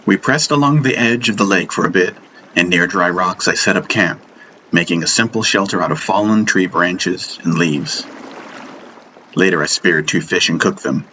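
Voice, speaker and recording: A man narrating a fantasy audiobook in the first person, in a measured, dramatic storytelling manner. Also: low voice, bright, forceful tone, slight background noise, poor audio.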